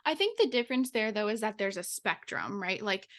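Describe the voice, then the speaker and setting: A woman of average pitch talks casually as a podcast co-host. She reasons her way through points reflectively, often seeking agreement as she goes. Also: lively intonation, a little fast, fairly bright tone. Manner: reflective, reasoning aloud, checks with "right?".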